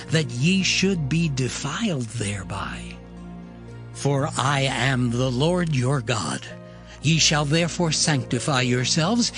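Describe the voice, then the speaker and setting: Man doing solemn divine voice, commanding, very slow delivery, music bed underneath. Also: a little high. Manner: slow, measured, solemn recitation. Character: the Lord giving laws, solemn and commanding. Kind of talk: dramatized scripture reading.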